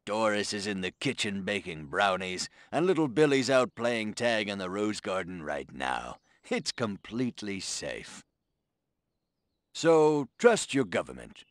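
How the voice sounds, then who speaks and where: A man's voice: lowish voice, sing-song, unhurried, no room sound, studio quality. A man playing a folksy, pompous American president giving a reassuring scripted address in a 1950s game. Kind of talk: televised political address, scripted.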